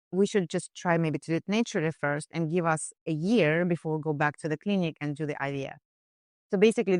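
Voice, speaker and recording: Halting woman nutritionist, smooth clear voice. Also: fairly low pitch, no room sound, clean studio sound. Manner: hesitant, many fillers and restarts. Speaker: nutritionist guest. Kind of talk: conversational case discussion.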